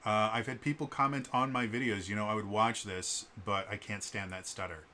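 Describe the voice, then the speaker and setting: Low-voiced guy with a stutter vlogging, earnest, lots of ums and restarts, kinda sharp tone. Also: steady tone, brisk, a little hiss or hum. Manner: earnest, frequent fillers and restarts. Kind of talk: unscripted vlog monologue.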